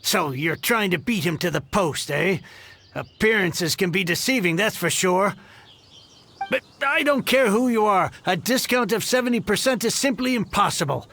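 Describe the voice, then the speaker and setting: A man's voice: sits high, lively intonation, moderate pace, fairly bright tone, exaggerated voice. A man voicing an anxious merchant who stammers and frets in a game. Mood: wary. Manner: nervous, stammers, exclaims. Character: anxious middle-aged male merchant, frazzled and stammering. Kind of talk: game dialogue.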